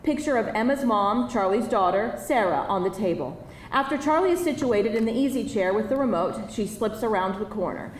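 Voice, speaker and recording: A woman's voice: medium pitch, expressive, moderate pace. Distant, echoey woman narrator reading a script. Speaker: narrator reading stage directions. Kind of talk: scripted narration at live table read. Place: indoor live table read before an audience. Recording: distant mic, echoey room, faint noise.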